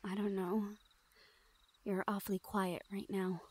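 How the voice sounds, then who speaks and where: A woman performs a young female thief of the shadow folk in a fantasy audio drama. Her medium-pitched voice is soft and tender, often easing into a breathy murmur. She sounds teasing and sure of herself, yet protective of the one she speaks to. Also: level tone, unhurried, lightly acted. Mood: playful, confident, then hushed, worried. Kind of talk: intimate fantasy audio roleplay acting.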